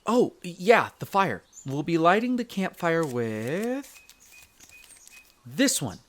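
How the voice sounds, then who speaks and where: A man's voice: fairly high pitch, big pitch swings, slow. A man playing a friendly, chatty elf explorer in a roleplay audio drama, explaining things in an easygoing, conversational way to the listener. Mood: upbeat, instructive. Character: friendly chatty male elf explorer, eager magic mentor.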